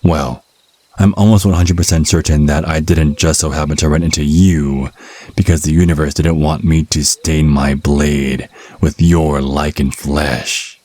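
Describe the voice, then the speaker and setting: Casting: male actor for a smug, mocking vampire hunter in ASMR audio drama, bottomless low register, unhurried delivery. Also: fairly even intonation, a little mellow, dry recording. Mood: cool, threatening. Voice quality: husky, very deep. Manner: sardonic, mocking, slow deliberate phrasing. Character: cocky, taunting male vampire hunter, youthful but over a century old. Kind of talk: ASMR roleplay monologue.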